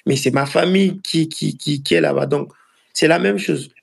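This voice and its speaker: A young man from Ivory Coast with an Ivorian accent gives casual interview answers as a footballer. His voice sits fairly high and is heavy with fry. He talks haltingly, circling back and repeating phrases to press his point. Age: early 20s. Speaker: professional footballer being interviewed.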